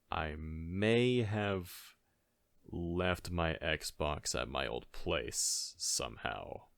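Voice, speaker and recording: A man playing a caring, goofy best friend in a soft-spoken ASMR audio roleplay, talking in a halting, gently chiding, conversational way. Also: extremely low pitch, creaky, no room sound, heavily acted. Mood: sheepish, rueful.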